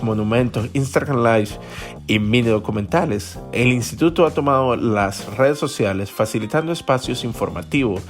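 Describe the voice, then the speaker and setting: A man hosting a podcast, reading a scripted introduction and then interviewing a guest. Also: mid-range voice. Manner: reads promos, then lively questioning. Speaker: podcast host.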